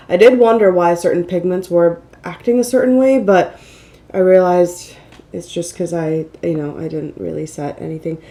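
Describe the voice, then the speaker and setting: A woman's voice: fairly low pitch, warm tone. A woman with a slightly nasal, congested voice, chatting casually and self-deprecatingly as she hosts a get-ready-with-me makeup vlog. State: mildly congested from a cold. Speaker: beauty vlogger, channel host.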